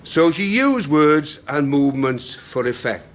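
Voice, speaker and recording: A man giving a talk to a local group and reading passages aloud with slow, dramatic pacing. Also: on the high side, sing-song. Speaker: speaker at a talk. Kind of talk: lecture with readings.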